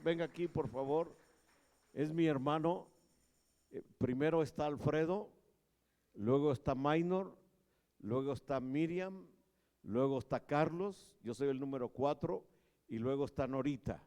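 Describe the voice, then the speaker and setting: A pastor in his sixties giving a sermon in a joking manner, growing louder as he preaches in a large church hall. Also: fairly high pitch, clean tone. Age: 60s. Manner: slow, joking, grows loud when preaching. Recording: long church reverb.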